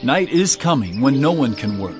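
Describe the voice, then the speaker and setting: A man's voice: mid-range voice, big pitch swings, moderate pace, music in the background, lightly acted. A man acting a solemn, authoritative holy teacher in a dramatized audio Bible, speaking with deliberation.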